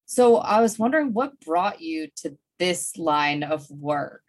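A woman's voice: fairly low pitch, animated, unhurried. A woman hosting an interview, talking enthusiastically with many "you know" fillers. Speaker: podcast host. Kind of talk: interview chat.

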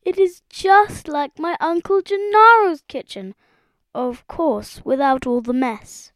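A woman voicing a short, squat, boastful male frog with a pompous, excitable manner in a children's story. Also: high-pitched, unhurried, dry recording, pitched above the performer's own voice.

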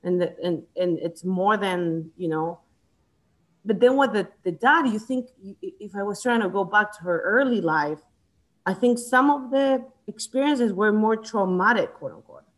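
A woman's voice: medium pitch, measured pace, poor audio. A woman from Chile discussing a book in casual conversation, with frequent fillers, restarts and hesitations. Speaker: book club member.

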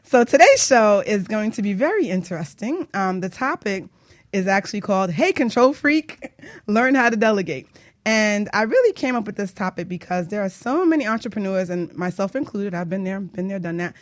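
A woman's voice: medium pitch, lively intonation, moderate pace, dry recording. Female radio host, business coach type. Informal, playful, chatty, recorded dry in studio. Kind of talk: casual radio talk show chat.

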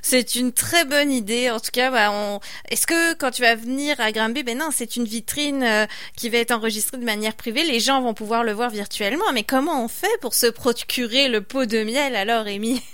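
Woman host interviewing a singer, smooth higher voice, dry close sound, lots of mhm. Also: moderate pace, few pauses, dry recording. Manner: warm and encouraging, frequent affirming mhm. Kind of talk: interviewing a guest.

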